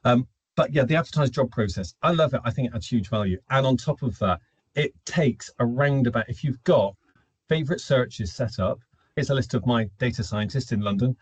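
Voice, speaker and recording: Husky man presenting a business webinar. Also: mid-range voice, a little fast, dry recording, low-quality recording. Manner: explanatory, conversational, opinionated, asks and answers own questions. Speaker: webinar presenter, recruitment automation consultant.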